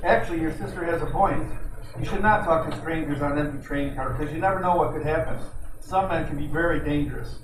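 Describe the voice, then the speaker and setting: A man's voice: a little high, fairly quick, natural voice. Man acting a cocky, flirty detective, noisy echoey recording. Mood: flirty, teasing. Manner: smooth-talking, wisecracking, slangy. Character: flirty, cocky detective posing as an innocent train passenger. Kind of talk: old-time radio detective drama acting. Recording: roomy, noisy, distant amateur mic.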